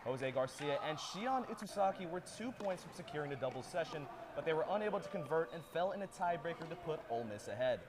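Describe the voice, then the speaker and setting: A young man reading a sports report as a student anchor, with a steady, even delivery. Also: fairly high pitch, fast talker, few pauses, noisy background. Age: young adult. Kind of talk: read news script.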